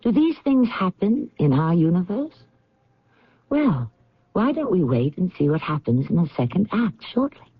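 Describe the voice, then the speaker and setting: A man's voice: high voice, sing-song, moderate pace, breathy edge, no echo. A man hosting a radio mystery, narrating theatrically with wry rhetorical questions. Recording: dull old radio recording. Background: faint music.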